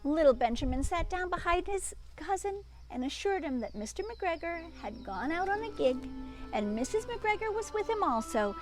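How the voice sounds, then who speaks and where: A woman's voice: extremely high pitch, big pitch swings, moderate pace, over music. A woman reading a children's storybook aloud expressively, breaking off for chatty asides to young listeners.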